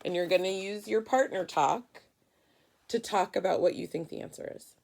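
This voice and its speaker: A woman's voice: mid-range voice, moderate pace, creaky, a little mellow. A woman teacher reading a picture book aloud to children in an instructive, questioning way. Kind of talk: children's read-aloud lesson.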